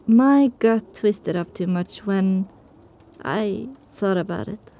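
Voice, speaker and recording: A woman's voice: mid-range voice, takes it slowly, mellow. A woman reading scripted first-person story narration for an audio drama.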